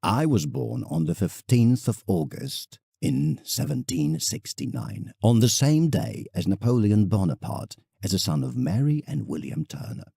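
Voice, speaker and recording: An actor playing an elderly English painter, reflective and nostalgic, delivering a deliberate, wistful monologue in an audio drama. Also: male voice, lowish voice, big pitch swings, vocal fry, dark tone, breathy edge, dry recording, studio quality, exaggerated voice. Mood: fond, reminiscing. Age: older.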